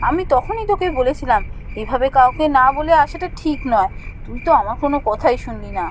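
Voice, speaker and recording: A woman's voice: sits high, lively intonation, fairly quick, a little hiss or hum. A woman voicing a timid, grumbling young woman in a Bengali cartoon ghost story, fretful and complaining in her delivery. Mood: anxious, reproachful.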